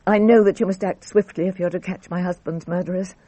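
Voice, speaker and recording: An actress plays a composed, guarded married lady in a radio drama. Her voice is soft-edged and husky, measured and formal, recorded very dry. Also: on the low side, fairly even intonation, no room sound, close to an everyday voice. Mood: grave, shaken. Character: composed French wife recounting her ordeal, guarded.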